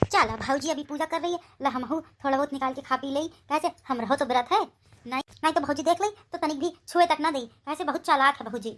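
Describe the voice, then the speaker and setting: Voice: sits high, brisk, fairly bright tone. A performer voicing a greedy, defensive young village man in a comic cartoon, protesting with a rural Uttar Pradesh accent. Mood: sly, furtive. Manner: sly, protesting.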